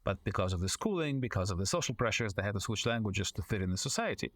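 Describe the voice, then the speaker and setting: A man, a foreign correspondent and author, giving long, measured answers as a podcast guest, often pausing on "you know" and restarting his sentences. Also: lowish voice, big pitch swings, fairly bright tone. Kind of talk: long thoughtful interview answers.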